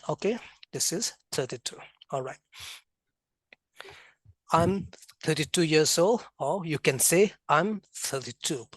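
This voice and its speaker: A man with a Karbi accent teaching an English class, drilling words and sounds slowly and having students repeat. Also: fairly high pitch, no echo. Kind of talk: classroom lesson, mixing local language and English.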